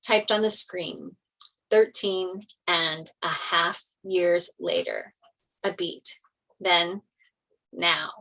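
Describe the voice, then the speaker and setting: A woman's voice: lowish voice, fairly even intonation, drawn-out words, vocal fry, sharp tone, poor audio. A woman reading stage directions as narrator in a table read of a TV script.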